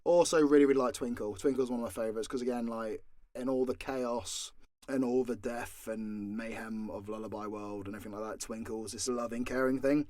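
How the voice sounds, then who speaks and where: A man's voice: medium pitch, steady tone, brisk, a little mellow, no room sound, clean studio sound. A British man chatting casually and off the cuff as he answers fan questions, with a blunt, sweary, jokey manner. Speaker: audio drama creator and voice actor answering fan questions. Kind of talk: casual unscripted Q&A chat.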